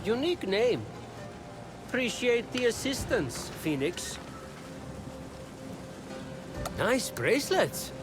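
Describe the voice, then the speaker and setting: A man's voice: extremely high pitch, sing-song, unhurried, long reverb tail, music in the background, heavily acted. A man voicing a small, breezy, sly thief in a video game cutscene.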